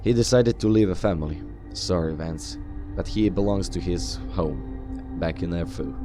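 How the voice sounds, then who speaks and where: A man roleplaying his video game character's dialogue in a deliberate, understated way. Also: sits low, dark tone, faint music behind. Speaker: gamer roleplaying the player character.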